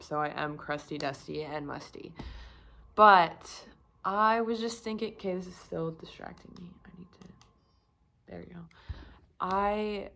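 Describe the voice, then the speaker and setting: This is a young woman talking straight to her camera in a loose, candid vlog. Her voice sits a little low and sounds croaky, as if she is a bit sick, and she rambles with plenty of fillers. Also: a bit bright. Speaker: vlogger. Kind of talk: casual confessional talk to camera.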